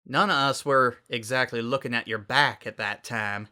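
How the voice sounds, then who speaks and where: A man's voice: mid-range voice, moderate pace, pushed and bright. A man voicing a down-to-earth teenage farm girl with a drawling delivery. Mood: awkward.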